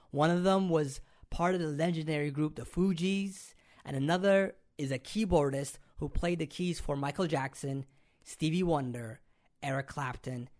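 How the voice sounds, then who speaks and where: A man's voice: on the high side, a little mellow. A man hosting a hip-hop podcast and interview in a casual, slangy, informal way.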